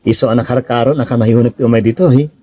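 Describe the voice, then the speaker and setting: A man's voice: mid-range voice, fairly even intonation, quick pace, warm tone, natural voice. A man playing a chatty, flirtatious restaurant customer in an audio drama, with an Ilocano Filipino accent. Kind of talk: radio-style drama acting.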